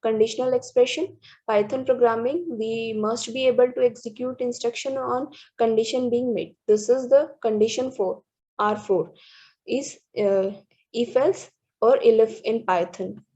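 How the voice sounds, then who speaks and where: Woman presenter, husky and mellow, halting slide walkthrough, lo-fi sound. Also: a little high, steady tone. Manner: halting, restarts words, many fillers. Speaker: student presenter. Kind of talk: reading through programming slides. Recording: dull lo-fi recording.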